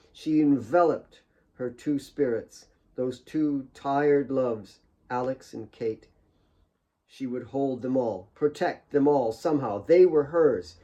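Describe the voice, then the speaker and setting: A man reading a novel as dramatic audiobook narration, with measured, deliberate phrasing and dramatic pauses. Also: mid-range voice, mellow. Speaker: author reading own novel.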